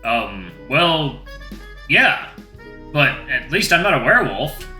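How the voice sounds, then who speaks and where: Someone doing a huge friendly wolf giant guy, soothing n unbothered, bright voice, roomy echo, music behind. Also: medium pitch, sing-song, slow, exaggerated voice. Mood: matter-of-fact. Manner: soothing, unbothered, lightly teasing.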